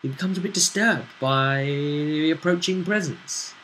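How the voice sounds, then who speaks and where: A man's voice: a little high, a little slow, sharp tone, slight background noise. A man chatting through a recap of a tabletop role-playing session as game master, in a bantering, conversational storytelling way. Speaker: game master and show host.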